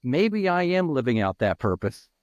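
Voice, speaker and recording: A man over fifty answering interview questions as a peer mentor, speaking earnestly and haltingly with many restarts. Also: medium pitch, big pitch swings, no room sound, dull audio.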